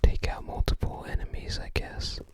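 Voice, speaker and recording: An ASMR host whispering softly and breathily close to the microphone, musing casually and tentatively. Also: normal speed. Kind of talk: ASMR, casual commentary while browsing a game guide book.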